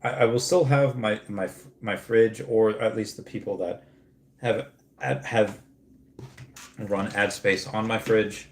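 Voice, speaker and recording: A man's voice: fairly low pitch, fairly even intonation, measured pace, roomy sound. A man in his thirties hosting a casual livestream, talking in a rambling way with many restarts and reading out viewer comments. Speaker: streamer host.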